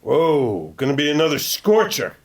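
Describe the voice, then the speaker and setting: A man's voice: fairly high pitch, big pitch swings, moderate pace. A man playing a grumbling, hesitant thirty-year-old suburban husband in a table read, casual and wry.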